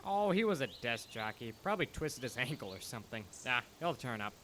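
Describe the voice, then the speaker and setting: A man's voice: mid-range voice, big pitch swings, a little fast, fairly bright tone. Cocky sarcastic repair guy, done by an actor, voice goes up and down a lot, offhand, radio drama, bit noisy. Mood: dismissive. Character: cocky, callous male repairman, grumbling and sarcastic. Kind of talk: live audio drama acting. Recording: noisy live stage recording.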